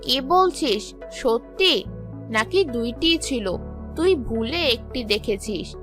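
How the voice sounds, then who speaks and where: A woman voicing a practical, sensible village mother in a cartoon moral story, advising in a measured way. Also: a little high, lively intonation, music in the background. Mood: questioning.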